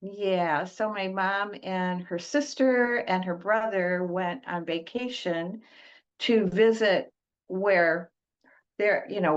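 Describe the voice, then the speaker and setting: An older woman from Detroit, a guest in an interview, talking in a slow, halting way with many fillers and restarts. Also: on the low side. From Detroit, United States. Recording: dull lo-fi audio.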